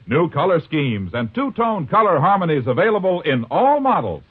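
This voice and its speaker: A man selling in a 1950s radio commercial, enthusiastic and salesy. His voice is slightly high and husky, with lively pitch. The broadcast sound is narrow and phone-like. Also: normal speed.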